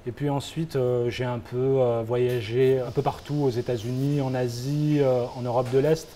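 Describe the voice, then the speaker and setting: A man's voice: medium pitch, little pitch movement, normal speed, dark tone, a little hiss or hum. A young man from France answering interview questions, hesitant and full of fillers. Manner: hesitant, many fillers and restarts. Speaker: guest, founder of a football agency.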